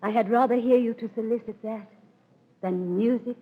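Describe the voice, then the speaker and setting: A woman playing a proud, yearning highborn lady, with stately verse delivery in 1930s radio Shakespeare style. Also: moderate pace, breathy edge. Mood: courtly, yearning. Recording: thin, phone-like 1930s radio recording.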